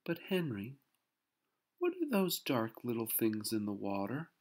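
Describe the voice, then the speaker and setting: Storybook mom played by an adult narrator: raised pitch, lively, gently exasperated. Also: a little slow. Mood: surprised, curious. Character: gently wary suburban mother, surprised, mildly exasperated.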